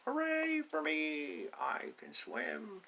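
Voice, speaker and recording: A man voicing a timid, excitable little duckling in a storybook, with drawn-out exclaiming lines. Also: fairly high pitch, creaky, a little hiss or hum, heavily acted. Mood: excited, proud.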